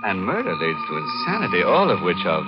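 A man's voice: low-pitched, huge pitch swings, fairly quick, dull audio. A man hosting a scripted 1940s radio horror show in a tongue-in-cheek, macabre, theatrical manner. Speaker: horror anthology host. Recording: old radio broadcast, music behind.

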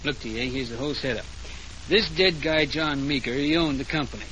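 Casting: man playing gruff, bossy American cop, forceful and bright, slangy and impatient, old radio crime drama with background noise. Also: mid-range voice, fairly quick, close to an everyday voice. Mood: brisk, sardonic. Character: brusque, impatient male police sergeant. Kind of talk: 1940s radio detective drama acting. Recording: noisy old radio transcription.

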